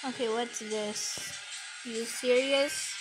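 A woman's voice: a little high, steady tone, takes it slowly, over music, low-quality recording. A teenage girl chatting casually over a screen recording of her phone, giggly and easily distracted. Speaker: vlogger. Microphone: phone mic.

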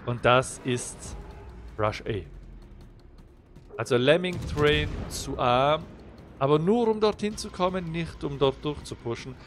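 A man's voice: a little high, measured pace, long pauses, soft background music. A young man with a slight cold, explaining a naval game replay as he commentates over it, getting livelier when the action starts. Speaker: gameplay commentator.

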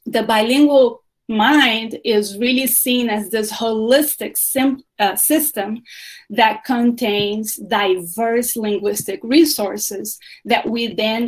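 A woman's voice: fairly high pitch, rough recording. Woman, Brazilian accent, native Portuguese, middle-aged, online lecture, webcam audio from a home room, frequent "right?" check-ins. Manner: explanatory, often tags "right?", fillers "uh" and "um". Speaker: university lecturer. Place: home room with bookshelves, indoors. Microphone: video call webcam.

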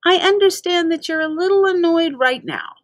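A woman voicing an excited, cocky young boy gamer in a storybook, enthusiastic, breezy and persuading. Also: extremely high pitch, moderate pace, no room sound, studio quality, voice pushed up. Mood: reassuring, upbeat.

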